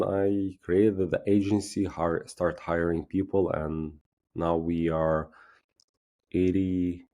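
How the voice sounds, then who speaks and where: Deep-voiced man with a Ukrainian accent. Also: mellow. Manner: halting, restarts words, self-deprecating. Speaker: guest, agency founder. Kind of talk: casual podcast interview.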